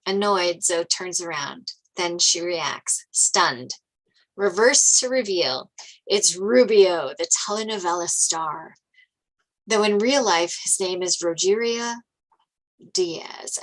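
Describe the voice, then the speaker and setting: A woman's voice: lowish voice, slow pace, a bit bright, rough recording. A woman reading screenplay stage directions as scripted narration.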